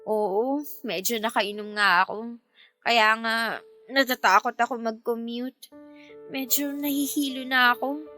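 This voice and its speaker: Tipsy, lovestruck young Filipino woman, high-voiced. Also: fairly even intonation, unhurried, long pauses, pushed and bright. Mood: sheepish, tipsy. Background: music.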